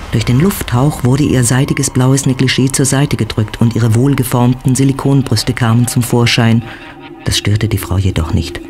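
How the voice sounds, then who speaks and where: A male actor is the storyteller of a horror audio drama. He reads scripted narration in a medium-pitched voice. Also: natural voice. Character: storyteller of a lurid horror tale.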